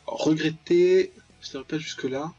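Husky young-sounding male gamer, slightly high. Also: normal speed, darkish tone. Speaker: gaming video host. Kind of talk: casual gameplay commentary. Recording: dull, lo-fi mic, music behind. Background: game music.

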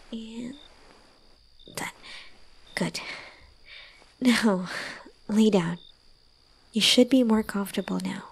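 ASMR roleplay: gentle young girlfriend caretaker, played by a woman. Slow, breathy, soft, soothing, a little teasing. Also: mid-range voice, full character voice. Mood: caring, coaxing. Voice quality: soft, breathy, often slipping into whispers. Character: gentle, doting young woman caring for a drunk lover. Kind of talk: ASMR roleplay, intimate one-sided dialogue.

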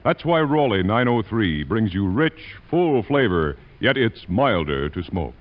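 Male 1940s commercial announcer, lower register, lively emphasis, dull vintage radio. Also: unhurried. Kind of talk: 1940s radio advertising read. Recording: muffled 1940s radio broadcast.

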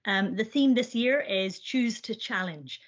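A woman's voice: medium pitch, lively intonation, measured pace. A young woman hosting a discussion, giving a prepared introduction over a video call. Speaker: discussion host. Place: indoor room, video call. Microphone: video call microphone. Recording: dull, lo-fi video call audio.

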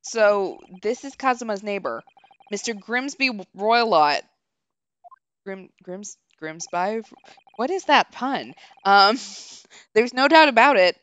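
A woman's voice: mid-range voice, lively intonation, takes it slowly, a bit bright, smooth. A woman streaming a game casually, reading dialogue aloud and chatting about it in between. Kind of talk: casual let's play with voiced dialogue.

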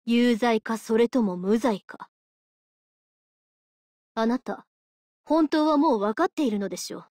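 A woman's voice: on the high side, expressive, a little mellow, no room sound, clean studio sound. A woman voicing a stern but caring female judge of the underworld for a Japanese mobile game, formal, polite and given to lecturing. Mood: stern, judging. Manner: formal, polite, measured, preachy. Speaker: voice actress.

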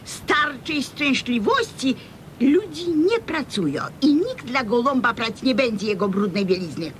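A woman's voice: lively intonation, measured pace. An actress playing a brash, scheming hustler woman in a radio comedy serial, bossy and wheedling, with a Podlasie Polish accent. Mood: bossy, defiant. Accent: Podlasie (eastern Polish). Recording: faint rumbling background noise.

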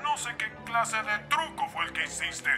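A man's voice: sits high, very animated, moderate pace, pushed and bright, exaggerated voice. A man playing a stern, threatening boss in a cartoon dub. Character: stern, threatening male boss calling an employee. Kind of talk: cartoon fan dub acting. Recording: music behind the voice.

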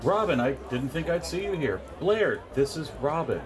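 A man's voice: a little high, very animated, normal speed, music in the background. A man playing a polite, formal organization official at a gala in a fiction podcast. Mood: polite, brisk. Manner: courteous, formal. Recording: busy crowd chatter behind.

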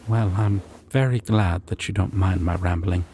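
A man playing a shy, dorky, kind dragon in an ASMR fantasy roleplay, speaking softly and hesitantly with tender, rambling care. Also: low voice, a little slow, slight background noise. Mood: bashful, playful. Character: shy, gentle, dorky adult male dragon caring for a stranger.

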